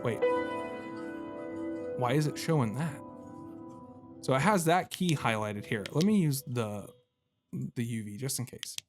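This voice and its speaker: A man's voice: medium pitch, animated, unhurried, long pauses, music in the background. A man giving casual let's-play commentary as a gaming host, talking to himself and poking fun at his own fumbles. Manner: self-deprecating, talks to himself while puzzling.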